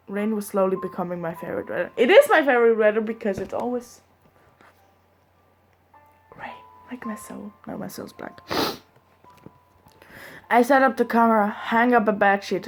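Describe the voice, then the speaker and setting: A young woman reading fanfiction aloud in the first person, sometimes giggling and breaking off to joke, with faint music behind her. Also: medium pitch. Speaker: fanfiction reader.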